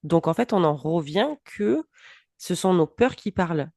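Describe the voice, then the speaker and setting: Woman in her 40s chatting on a podcast, lowish voice, dry close sound, gets excited fast. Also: gravelly, no room sound. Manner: animated, laughs often, quick bursts. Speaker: podcast co-host, coach. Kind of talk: casual podcast chat.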